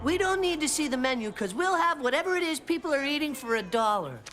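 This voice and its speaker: A very high-pitched, wildly animated little boy in a kids' cartoon. He is cocky and boastful, a self-appointed detective, and talks over clear background music. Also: fast talker. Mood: casual, eager. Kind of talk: animated children's cartoon acting. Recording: music under the dialogue.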